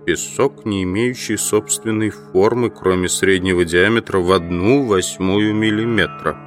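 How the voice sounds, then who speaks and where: An actor playing a questioning, brooding trapped scientist in an audio drama. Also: male voice, lowish voice, measured pace, faint music behind, close to an everyday voice. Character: puzzled, brooding entomologist trapped in a sand pit.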